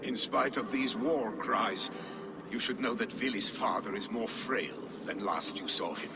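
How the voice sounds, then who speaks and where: A man's voice: on the low side, very noisy. A formal Norse clansman. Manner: formal, measured. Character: loyal Norse clansman, messenger. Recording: game audio with long reverb.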